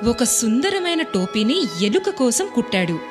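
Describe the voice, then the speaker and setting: A woman telling a children's story as an expressive narrator. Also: on the high side, unhurried, music in the background.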